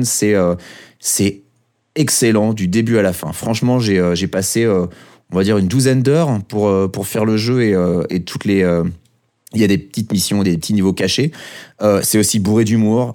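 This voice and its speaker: A man's voice: on the low side, mellow. A man co-hosting a casual podcast, talking with frequent hesitations and fillers but enthusiastic. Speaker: podcast co-host.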